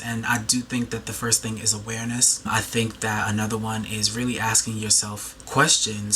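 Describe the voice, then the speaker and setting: A man's voice: on the low side, a bit bright, slight background noise. A man in his thirties talking earnestly to camera in a rambling way, with lots of "like" and restarts. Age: 30s. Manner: earnest, rambling, heavy use of "like". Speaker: video host. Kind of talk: reflective monologue to camera.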